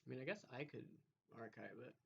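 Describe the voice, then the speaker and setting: A man's voice: mid-range voice, lively intonation, fast talker, gravelly, fairly bright tone, highs cut off, a little hiss or hum. A man streaming, talking casually as if to a chat audience.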